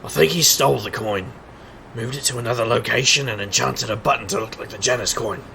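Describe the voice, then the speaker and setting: Guy doing a wisecracking street magician detective for audio drama, super gravelly, showman patter, bit of noise behind. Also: medium pitch, fairly quick, sharp tone. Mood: wry, explaining. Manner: showman's sing-song patter, wry and theatrical. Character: male street magician private investigator, cocky, wisecracking trickster. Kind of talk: scripted audio drama acting.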